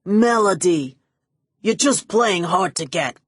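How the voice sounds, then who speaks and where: A woman's voice: on the low side, lively intonation, slow pace, breathy edge, no room sound, clean studio sound, full character voice. A woman voicing a middle-aged male gangster boss in an audiobook, lovesick and pushy, emphatic and pleading. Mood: infatuated, pleading. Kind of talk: audiobook character voice.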